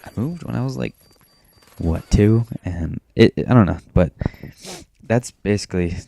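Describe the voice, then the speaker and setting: A teenage boy from Maine rambling in a self-described monotone, talking casually over his gameplay with frequent restarts. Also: low-pitched, normal speed, creaky. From Maine, USA. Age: teens. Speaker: gaming commentator.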